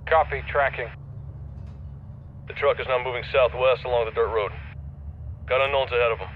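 A man's voice: medium pitch, steady tone, normal speed, phone-quality sound, a little hiss or hum, natural voice. An actor playing a businesslike male gunship sensor operator, making clipped, procedural callouts in a military game. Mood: focused. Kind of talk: military game radio dialogue.

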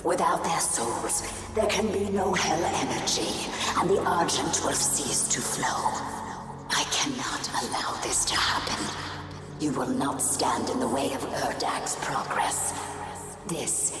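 A woman playing a cold, regal, otherworldly ruler in a video game, solemn, measured and imperious. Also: rumbling low. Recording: echoing hall, distant, under loud music.

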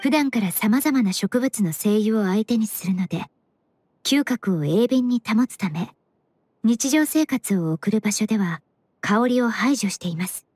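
An actress playing a composed young woman in an anime game, polite, precise and gently matter-of-fact. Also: fairly high pitch, animated, measured pace, husky.

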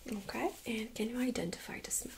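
A woman speaking in a soft, breathy near-whisper, gently giving instructions as a medical examiner in an ASMR role-play. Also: fairly high pitch, fairly quick, a little hiss or hum. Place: small room indoors.

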